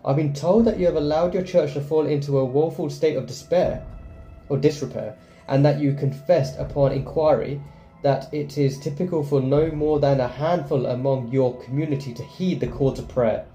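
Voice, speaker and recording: Very mellow male gamer in echoey room. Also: a little high, moderate pace. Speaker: gaming video host. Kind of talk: reading game text aloud with casual commentary. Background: faint game music.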